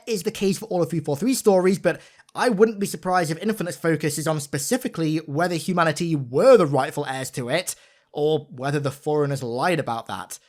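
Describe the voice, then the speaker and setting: A young man giving an informal, enthusiastic video game breakdown with an emphatic, gushing manner. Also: on the high side. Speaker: video game content creator.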